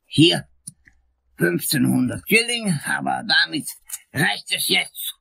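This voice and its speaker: A creaky, pompous, vengeful prosecutor. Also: male voice, a little high, lively intonation, bright, forceful tone, full character voice. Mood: haughty, indignant. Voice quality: raspy, creaky, often hissing. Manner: pompous, theatrical, swooping, drawn-out delivery. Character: outraged, vengeful, pompous male public prosecutor. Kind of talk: radio drama acting.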